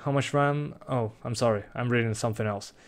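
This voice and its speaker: A man's voice: medium pitch, a little fast. A young man from Uruguay with a Rioplatense Spanish accent, a native Spanish speaker casually reading out and answering chat questions on a live stream with frequent chuckles. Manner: relaxed, reads questions aloud, chuckles often.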